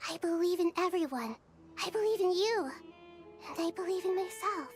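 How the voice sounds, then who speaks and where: A gentle child goddess from a video game, her voice high and girlish. She speaks with earnest, measured politeness and thoughtful wisdom, over soft music. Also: animated. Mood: gentle, reflective. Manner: measured, articulate, formally polite. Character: gentle, wise young girl deity, earnest and thoughtful. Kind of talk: video game story acting. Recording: music behind the dialogue.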